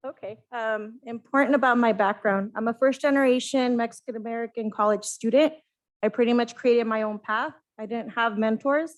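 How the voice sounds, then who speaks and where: Woman, conference panelist, moderately high pitch, sincere halting delivery, offering advice to students. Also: poor audio. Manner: halting, earnest.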